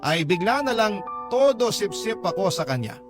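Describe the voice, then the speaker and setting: A man's voice: high voice, big pitch swings, moderate pace. A man voicing a lovestruck, discontented young mother's confession letter as dramatic radio narration. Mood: wistful, frustrated. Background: music bed.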